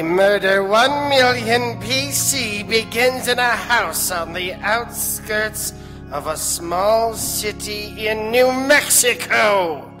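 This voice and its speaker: Macabre horror host, ominous, very slow, theatrical, old-time radio, reverberant hall. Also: male voice, high voice, exaggerated voice. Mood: ominous storytelling. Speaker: host and storyteller of a horror serial. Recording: reverberant stage hall, music behind.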